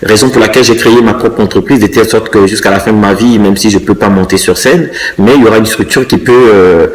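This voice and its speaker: A man in his fifties from Congo, answering interview questions thoughtfully and pausing often to find his words. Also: fairly low pitch, rough recording. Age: 50s. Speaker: interviewee, dancer and choreographer.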